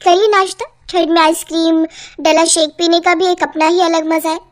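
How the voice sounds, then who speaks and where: A woman voicing an exasperated, sarcastic young daughter-in-law in a cartoon, complaining with a sharp tongue. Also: very high-pitched, flat delivery, moderate pace. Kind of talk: Hindi cartoon voice acting.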